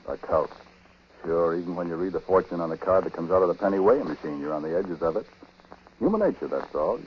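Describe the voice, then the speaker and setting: An American actor playing a chatty, world-weary husband who confides in the listener in slangy, folksy talk, in 1940s radio drama style. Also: male voice, sits low. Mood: wry, confiding. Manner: colloquial, folksy, slangy, intimate direct address. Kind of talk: 1940s radio drama acting, confiding first-person narration. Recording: dull, hissy 1940s radio recording.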